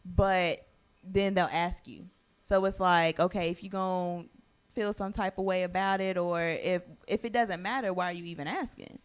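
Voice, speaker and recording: A woman's voice: fairly low pitch, fairly even intonation, moderate pace, smooth. Blunt woman asking pointed questions. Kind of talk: casual group chat.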